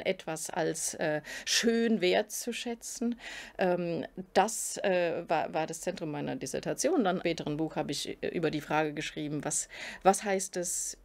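Middle-aged woman academic, lowish voice, measured and hesitant, seated in a studio. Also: a little mellow. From southern Germany. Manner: thoughtful, searching for words, frequent hesitations and restarts. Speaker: philosophy professor. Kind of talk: interview answers to camera. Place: indoor studio before a curtain.

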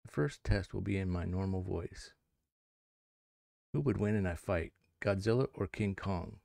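A man's voice: low-pitched, moderate pace, vocal fry, a little mellow, dry recording. A middle-aged man presenting a demonstration in a scripted narrating style, on a close microphone at home. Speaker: video presenter. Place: indoors at a home streaming setup. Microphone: close headset or desk microphone.

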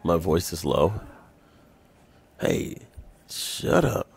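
A man's voice: a bass voice, wildly swooping pitch, extremely slow, gravelly, loud music behind the voice. A tired man giving casual live commentary on a game, slangy and sweary, muttering to himself and his chat. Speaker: video game streamer.

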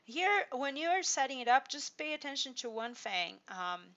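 A woman from Brazil with a Brazilian Portuguese accent, a native Portuguese speaker, demonstrating software in an unhurried screen-share walkthrough full of fillers and restarts. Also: high-pitched, very animated, dull audio. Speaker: software company presenter.

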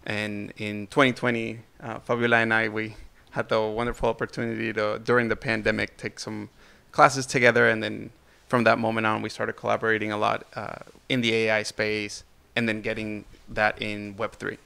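Venezuelan-born man, gravelly and flat, bright clear tone, steady interview guest. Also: on the low side, normal speed. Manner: steady, with frequent "uh" fillers. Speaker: guest artist. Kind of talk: casual interview. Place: living room.

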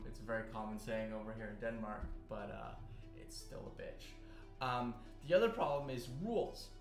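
Rambling young man in an echoey kitchen. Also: medium pitch. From Canada. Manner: rambling, filler-heavy, many restarts. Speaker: cooking streamer. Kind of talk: casual live-stream chat while cooking. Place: home kitchen. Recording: roomy kitchen sound with faint music.

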